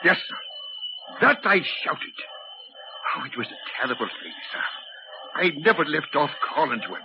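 An actor playing a shaken, respectful railway engine driver with emotional, theatrical 1940s radio delivery. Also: male voice, on the high side, big pitch swings, moderate pace. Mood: anguished. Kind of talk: 1940s radio drama acting. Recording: dull noisy 1940s radio recording.

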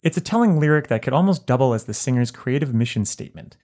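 A man narrating a written album review in a measured, articulate way. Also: medium pitch, animated, warm tone. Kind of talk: scripted narration.

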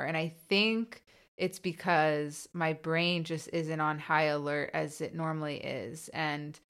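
A young woman with a lowish, clear and smooth voice talks casually as a podcast co-host. She is open and warm-humored about her own stutter, laughing often, and her speech has small repetitions. She sounds relaxed and conversational. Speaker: co-host, person who stutters.

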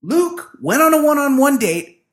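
A man co-hosting a podcast, chatting about a reality dating show in a sarcastic, profane and animated way. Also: extremely high pitch, moderate pace. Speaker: podcast co-host. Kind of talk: casual recap chat.

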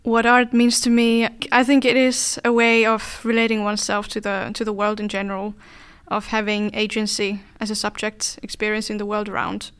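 Female interview guest from Finland, flat intonation, very clean tone, thoughtful delivery. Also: medium pitch, moderate pace, clear, resonant tone. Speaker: dance artist, podcast guest. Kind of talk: reflective interview talk.

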